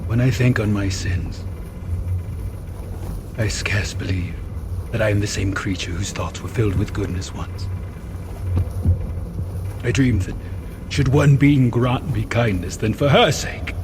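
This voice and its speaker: A man plays a remorseful monster in an audio drama, speaking slowly over clear background noise. The delivery is grand and bitter, with anguish in a solemn, old-fashioned declamation. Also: lowish voice, close to an everyday voice. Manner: slow, solemn, archaic declamation.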